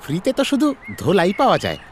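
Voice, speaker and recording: A man's voice: high-pitched, wildly swooping pitch, very fast, a little hiss or hum. A man playing an eager, obliging tradesman in a comic cartoon, with sing-song sales patter. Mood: eager, hawking. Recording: music behind the voice.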